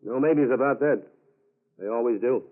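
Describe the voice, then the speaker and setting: A thin, tinny vintage radio recording carries an actor as a male FBI agent. He sounds mid-pitched, measured and confident, laying out facts methodically in 1940s radio acting style. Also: heavy background noise, lightly acted. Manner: matter-of-fact, measured.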